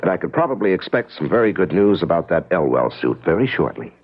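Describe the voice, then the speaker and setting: A man's voice: deep voice, very animated, fast talker. An actor playing an affable but evasive husband in a radio mystery, hesitant and placating as he talks. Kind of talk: old radio drama acting. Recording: muffled, noisy old radio broadcast.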